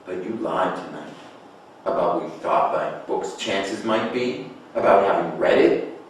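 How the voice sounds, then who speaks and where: A man's voice: deep voice, measured pace. A man playing a wounded, defiant writer who accuses his friend, in a table read of a stage play. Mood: accusing. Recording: echoey room, distant mic, faint noise.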